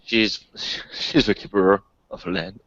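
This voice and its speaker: A man's voice: lowish voice, fairly even intonation, slow, fairly bright tone, no echo. A man playing a tabletop roleplaying game, jokily narrating his character in casual chat, on a dull recording. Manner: playful, jokey. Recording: dull, close lo-fi microphone sound.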